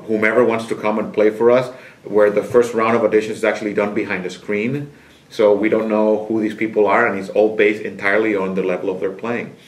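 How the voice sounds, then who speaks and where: A middle-aged man from Nicaragua, a music director, answering interview questions in a thoughtful, storytelling way with small restarts. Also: on the low side. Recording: echoey concert hall.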